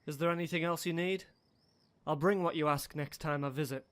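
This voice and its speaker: A man's voice: a little high, fairly even intonation, a little fast, fairly bright tone, smooth, close to an everyday voice. A man playing a courteous, apologetic military commander in a radio play. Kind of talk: radio play acting, fan production.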